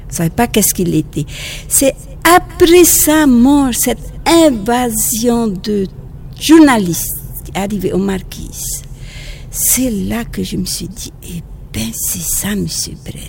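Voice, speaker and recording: A nun from French Polynesia with a Polynesian accent, speaking French as a second language and recalling the past in a halting, gentle way, often restarting her phrases. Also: fairly high pitch, lively intonation, gravelly, slight background noise. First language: Tahitian. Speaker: nun and teacher. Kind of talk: outdoor interview recollection.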